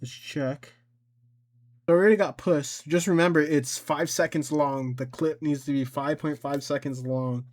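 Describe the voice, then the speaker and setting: A man giving a casual software tutorial, rambling and thinking aloud as he works through each step. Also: a little high, fairly even intonation, moderate pace. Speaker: tutorial presenter.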